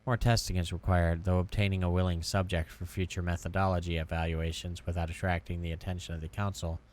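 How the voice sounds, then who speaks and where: A man's voice: deep voice, level tone, fast, a little mellow. A man who streams games, reading the text of a story game aloud. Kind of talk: voiced playthrough of a visual novel.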